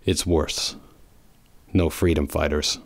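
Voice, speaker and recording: Grim brooding hedgehog antihero, played by a man, low voice, terse. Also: fairly even intonation, slow, gravelly, full character voice.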